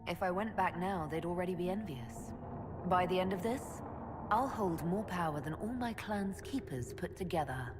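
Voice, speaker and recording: A woman's voice: lowish voice, animated, moderate pace, long reverb tail, background noise, natural voice. A woman playing a resolute, guarded elf leader in a fantasy video game, firm and matter-of-fact. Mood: cool. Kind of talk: dramatic video game dialogue.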